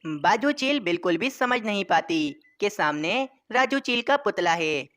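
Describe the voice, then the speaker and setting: A woman's voice: medium pitch, expressive, measured pace, fairly bright tone. A woman reading scripted narration for a children's story.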